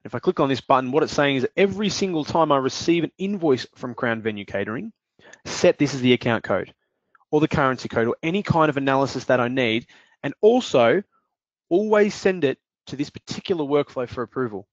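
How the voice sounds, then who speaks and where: A man presenting a screen-share software demo, explaining each step in a steady way with occasional fillers. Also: medium pitch, a little fast, dry recording, lo-fi sound.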